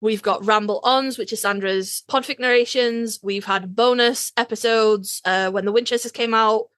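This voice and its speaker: A British woman with a somewhat high voice chats as a podcast co-host. Her delivery is informal and peppered with swearing, and the sound is dry with no room echo. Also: steady tone, normal speed, fairly bright tone, clear, resonant tone. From United Kingdom. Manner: casually sweary. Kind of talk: casual fandom podcast chat.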